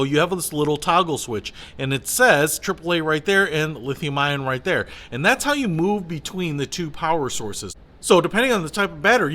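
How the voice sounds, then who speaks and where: A man's voice: fairly high pitch, pushed and bright. A man giving a casual, enthusiastic hands-on product review in everyday colloquial language.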